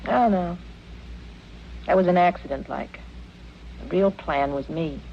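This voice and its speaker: A woman's voice: fairly low pitch, measured pace, gravelly. A woman playing a naive, loyal backwoods girl in a radio western, plaintive and simple, with a rural American accent. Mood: wistful, artless. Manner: simple, folksy, artless. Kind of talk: 1950s-60s radio western acting. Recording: muffled, noisy old radio recording.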